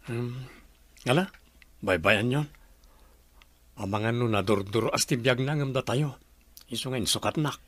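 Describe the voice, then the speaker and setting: A man's voice: mid-range voice, unhurried, pauses often, no echo, natural voice. A man acts a grown son in a radio drama. He speaks in a medium, dry-recorded voice with an Ilocano accent, subdued, worried and questioning.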